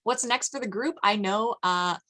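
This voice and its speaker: A woman's voice: mid-range voice, moderate pace, bright, forceful tone. A woman moderating an audience question session in a casual, conversational style on an online call. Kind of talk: casual post-show discussion.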